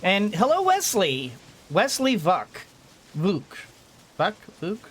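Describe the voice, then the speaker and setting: Male gaming host, notably high voice, casual and rambling, talks his way through each step. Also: huge pitch swings, takes it slowly, fairly bright tone, a little hiss or hum. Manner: rambling, thinking aloud. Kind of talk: casual let's-play commentary.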